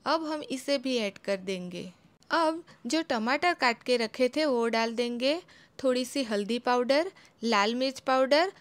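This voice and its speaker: A young woman giving a calm, step-by-step home cooking voice-over as a channel host. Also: sits high. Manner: calm, instructive, homely guidance.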